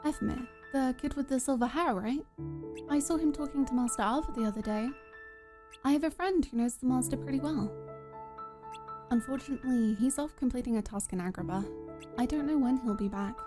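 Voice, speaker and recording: A woman playing a casual, brisk but helpful young girl sharing gossip in a video game fan dub. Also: sits high, lightly acted. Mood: matter-of-fact. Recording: clear music under the voice.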